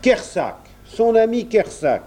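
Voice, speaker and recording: A man's voice: sits high, very animated, takes it slowly, mellow, voice pushed up, exaggerated voice. A man voicing a hearty, good-natured countryman in his late thirties who talks in folksy exclamations for a storybook. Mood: hearty, insistent. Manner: exclamatory rustic talk with folksy turns.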